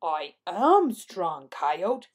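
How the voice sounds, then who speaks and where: Lady doing a big proud deer type guy for a kids story, slow n pompous, full of himself. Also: fairly low pitch, big pitch swings, exaggerated voice. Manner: slow, puffed-up, pompous delivery. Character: proud, strong, slow-witted male deer or bighorn sheep.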